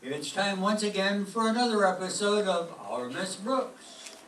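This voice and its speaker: A man's voice: high voice, animated, unhurried, a bit bright, a little hiss or hum. A man announcing in the style of an old-time radio network show. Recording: echoey stage, distant mic, music.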